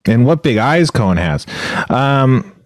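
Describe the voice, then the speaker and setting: A man's voice: mid-range voice, very animated, a little slow, a little hiss or hum. A man hosting a podcast, rambling and mock-dramatic as he tells a silly story, with many restarts. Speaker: podcast host. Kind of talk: casual comedic chat and storytelling.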